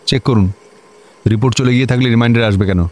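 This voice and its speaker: An actor playing an officious, excitable district magistrate in a comedy radio play, with a clipped manner and English words mixed in. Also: male voice, mid-range voice, fast talker, slight background noise, lightly acted. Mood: brisk, official. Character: officious, excitable male district magistrate, bossy to juniors, servile to superiors. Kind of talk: Bengali comedy radio drama acting.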